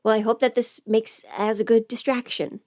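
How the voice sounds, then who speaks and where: A woman's voice: on the high side, little pitch movement, moderate pace, a little mellow, no room sound. A young adult woman chatting casually to livestream viewers in a rambling, informal way, often interrupting herself. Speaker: livestreamer and audio performer. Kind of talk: casual livestream chat while putting on makeup.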